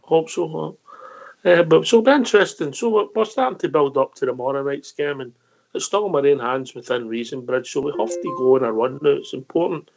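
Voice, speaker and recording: A man hosts a phone-in, chatting and teasing callers. His voice is gravelly and a little high, and the mic sounds slightly muffled. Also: fairly quick. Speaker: host. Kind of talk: casual phone-in hosting.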